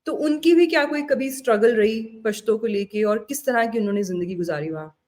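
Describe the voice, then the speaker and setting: A woman with an Indian accent and a slightly high-pitched voice hosts an interview. She talks in a conversational way about serious matters. English words are mixed into her speech. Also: a little fast, a little mellow. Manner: mixes Hindi-Urdu with English words. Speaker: interview host. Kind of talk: conversational political interview, long questions.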